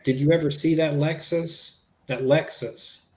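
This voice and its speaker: A man's voice: a little high, fairly even intonation, measured pace, a bit bright. A man reading the part of a police interviewer in a dramatic transcript reading, asking conversational, matter-of-fact questions. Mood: probing, matter-of-fact.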